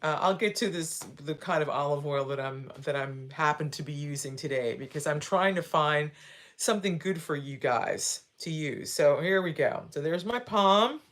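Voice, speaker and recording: A woman's voice: deep voice, normal speed, fairly bright tone. A sixty-year-old woman hosting a live video, talking casually as she demonstrates, with frequent tag questions and repeated phrases. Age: 60s. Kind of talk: casual instructional talk.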